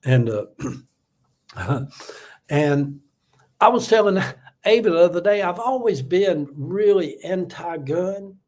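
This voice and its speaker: A man's voice: sits high, expressive, takes it slowly, pauses often, a little mellow. A seventy-year-old man, talking in a casual livestream, reading out viewer comments and giving earnest, repeated warnings with lots of ums and uhs. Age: 70s. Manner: earnest, repetitive, warning tone, many fillers. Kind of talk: casual political livestream talk.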